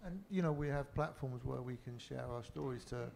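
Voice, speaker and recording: A man's voice: medium pitch, brisk, vocal fry, a little mellow, slight background noise. A man with a Liverpool accent speaking on a panel in a rambling way, full of "you know". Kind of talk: casual panel talk.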